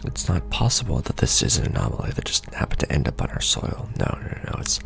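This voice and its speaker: Very deep, gravelly man, wry ASMR briefing. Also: big pitch swings, a bit bright, soft background music. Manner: wry, casual, unhurried explaining. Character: seasoned, wry male secret-society official briefing a recruit. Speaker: ASMR roleplay performer. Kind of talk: ASMR roleplay monologue, soft conversational briefing.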